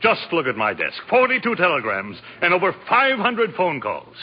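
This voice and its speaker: An actor playing a bossy, enthusiastic department store owner in 1940s radio style, expansive and declamatory. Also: male voice, high voice, huge pitch swings, normal speed, slightly rough, heavily acted. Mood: hearty, pleased. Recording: muffled 1940s radio broadcast.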